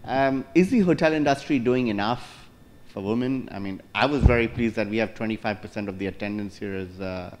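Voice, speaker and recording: A middle-aged man moderating a conference panel, brisk and jokey as he fires off questions on a lapel mic. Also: on the low side. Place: large conference hall stage.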